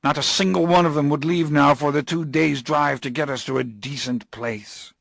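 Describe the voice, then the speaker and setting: A man voicing a desperate, drunken gentleman, pleading and bursting out in frantic, high-pitched cries. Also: steady tone, moderate pace, vocal fry, no echo, clean studio sound. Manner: pleading, rising to frantic outbursts.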